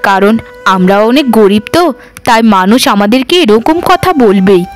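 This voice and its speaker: A woman's voice: mid-range voice, animated, normal speed, lightly acted. A woman voicing a poor, patient village mother in a cartoon, earnest, caring and plaintive. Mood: sad, resigned. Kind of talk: Bengali cartoon dubbing.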